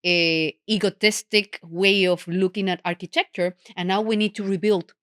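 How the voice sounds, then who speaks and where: A woman hosting a podcast interview, speaking haltingly and searching for words as she frames her questions. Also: medium pitch, steady tone, fairly bright tone, no room sound, clean studio sound. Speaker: podcast host.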